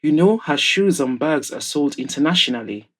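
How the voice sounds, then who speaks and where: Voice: medium pitch, animated, normal speed, fairly bright tone. Audio drama role: plain-spoken husband read by a narrator, matter-of-fact, candid friend, mid-range voice. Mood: amused, animated. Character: matter-of-fact married man, frank friend. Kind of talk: audiobook-style character dialogue.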